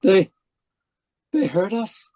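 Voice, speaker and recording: A man's voice: high voice, sing-song, slow pace, dark tone, dry recording, full character voice. A man playing an anguished, deluded murderer in an old-time radio drama, pleading and melodramatic. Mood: disbelieving, desperate. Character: anguished, deluded male murderer convinced he is dead.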